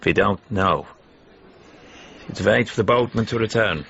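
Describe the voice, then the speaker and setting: Low male voice, gravelly, clipped emphatic phrases, secretive informant, radio drama, muffled with faint noise. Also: measured pace, pushed and bright, exaggerated voice. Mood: guarded. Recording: muffled, faintly noisy ambience.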